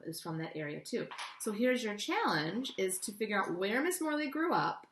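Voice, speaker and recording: A woman with an American accent reads a picture book aloud expressively to young listeners, with casual asides. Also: on the low side. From United States. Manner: animated, addressing young listeners. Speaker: children's story reader. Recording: echoey room.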